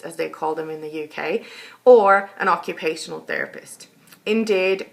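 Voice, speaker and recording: A middle-aged woman from Finland, a university lecturer giving a measured, explanatory recorded lecture. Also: mid-range voice.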